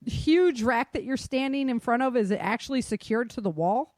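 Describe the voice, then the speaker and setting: A woman's voice: a little high, lively intonation, moderate pace, a little mellow, dry recording. A woman with an American accent hosting a casual podcast discussion, explaining her points and often checking in with "right?" and "you know". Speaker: podcast host and author.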